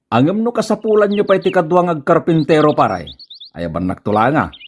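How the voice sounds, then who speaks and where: A man with a Filipino accent playing a lovesick, easily wounded ageing bachelor uncle in a radio comedy drama, hesitant and drawn-out with sighs. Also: a little high, animated.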